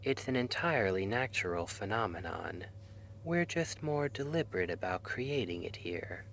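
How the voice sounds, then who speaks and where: A man playing a gentle, reassuring clinician at a dream institute in an ASMR roleplay, soft-spoken and soothing, often slipping into a whisper. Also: fairly low pitch, animated, normal speed, gravelly, slight background noise. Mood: reassuring, explanatory.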